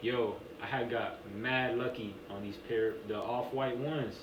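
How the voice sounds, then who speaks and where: A man's voice: mid-range voice, unhurried. Young man telling stories, echoey room. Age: 20s. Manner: relaxed storytelling, slangy, many fillers. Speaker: podcast guest. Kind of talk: casual conversation. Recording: echoey room, distant mic, noisy.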